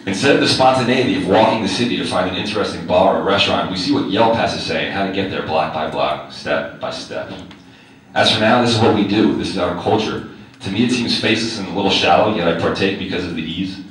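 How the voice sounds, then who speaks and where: A man's voice: low-pitched, fast talker, a bit bright. A male teacher reading his own personal essay aloud to a live audience, wry and conversational, with direct questions to the listeners. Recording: very echoey hall, distant mic, faint noise.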